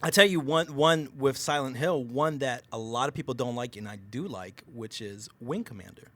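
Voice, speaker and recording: A man's voice: mid-range voice, normal speed, a bit bright. A man chatting casually as a podcast panelist, a little hesitant and repeating his words. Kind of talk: casual chat.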